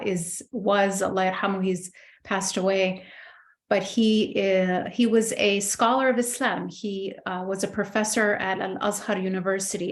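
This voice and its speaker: A middle-aged woman born in Egypt, a guest in an interview, telling her life story thoughtfully with many pauses and restarts. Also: medium pitch. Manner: thoughtful, many fillers and restarts, warm recollection. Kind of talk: reflective personal storytelling.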